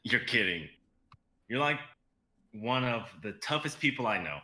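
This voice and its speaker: A man's voice: mid-range voice, expressive, measured pace, pushed and bright, poor audio. A young man playing a casual, loyal and supportive adventurer in a dramatic reading. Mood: warm, sincere. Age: young adult. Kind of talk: online dramatic script reading.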